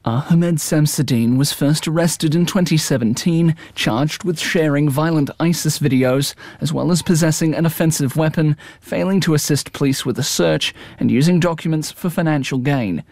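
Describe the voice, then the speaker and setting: A man's voice: fairly high pitch, moderate pace, few pauses, a little mellow, no room sound. A New Zealand man reporting from a court inquest, reading a formal scripted news piece.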